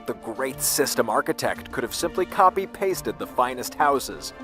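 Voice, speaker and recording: An actor playing an embittered, world-weary scientist in an audio drama, intense and confiding in manner. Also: male voice, lowish voice. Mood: impassioned, indignant. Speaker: actor in fiction podcast. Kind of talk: audio drama dialogue and narration.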